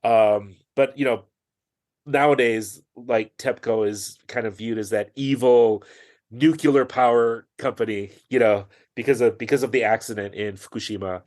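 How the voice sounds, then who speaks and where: Man, podcast guest, mid-range voice, chatty, bursts of quick talk. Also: mid-range voice, fairly even intonation, darkish tone. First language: English. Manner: chatty, with frequent fillers and restarts, rushing through phrases. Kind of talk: casual conversational interview.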